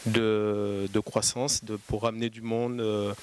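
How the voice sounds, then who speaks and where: A man's voice: lowish voice, little pitch movement, slight background noise. Earnest man speaking from the audience. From Martinique. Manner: earnest, halting with repeated words. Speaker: audience member, coder. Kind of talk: spontaneous comment from the audience.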